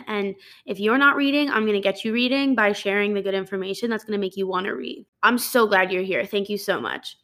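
A woman's voice: fairly high pitch, fairly bright tone. A woman in her twenties hosting a solo podcast, chatty and upbeat, rambling with lots of fillers and laughing as she talks into a microphone. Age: 20s. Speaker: podcast host. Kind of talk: casual solo podcast talk. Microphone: studio microphone.

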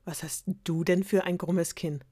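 A woman voicing an arrogant young princess who mocks her suitors in a shrill, snappy way. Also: steady tone, unhurried, warm tone. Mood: mocking.

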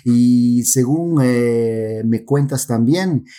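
Peruvian Amazonian man hosting, measured. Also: mid-range voice, mellow. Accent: Peruvian Amazonian Spanish. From Peru. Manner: welcoming, measured, with some pauses and fillers. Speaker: podcast host. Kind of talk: friendly podcast hosting for Spanish learners.

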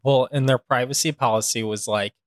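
A man with an American accent chatting casually as a co-host, halting and emphatic, with a jokingly dramatic streak. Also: mid-range voice, fairly even intonation, no room sound, studio quality. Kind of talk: casual chat.

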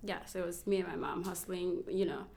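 A young woman from Rwanda, a model, speaking softly in a casual interview, laughing often and using many fillers. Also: on the low side, fairly even intonation, fairly quick, vocal fry. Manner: soft-spoken, laughs often, frequent fillers.

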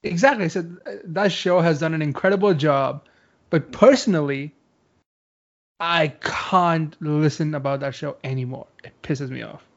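A man's voice: fairly high pitch, moderate pace, lo-fi sound. A man in casual chat on a call, easygoing and agreeable, who laughs often.

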